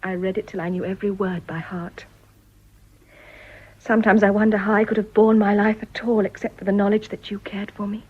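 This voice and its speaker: An actress with an English accent playing a devoted, quietly resolute Edwardian wife in a radio drama, with a breathy voice. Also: female voice, medium pitch, little pitch movement, brisk, lightly acted. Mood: tender, hushed. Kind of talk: period radio drama acting. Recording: dull, slightly muffled old radio recording.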